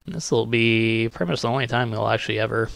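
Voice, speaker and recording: A man's voice: medium pitch, quick pace, gravelly, background noise. A man doing casual let's-play commentary as a gaming video host, muttering to himself and reading dialogue aloud in a laid-back way. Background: game music.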